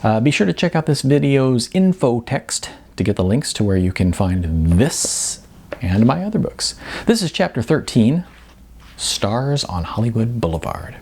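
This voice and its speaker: A man reading a novel as its author, in expressive audiobook narration with deliberate, emphatic phrasing. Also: mid-range voice, husky.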